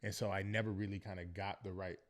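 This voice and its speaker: A young man from Northern Virginia talks as a podcast guest in a thoughtful, earnest way. He often circles back to make sure he is understood, in a fairly low voice. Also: level tone, fast. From Northern Virginia, United States. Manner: reflective, earnest, leans on "you know what I mean". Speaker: podcast guest, professional football player. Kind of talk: casual interview chat.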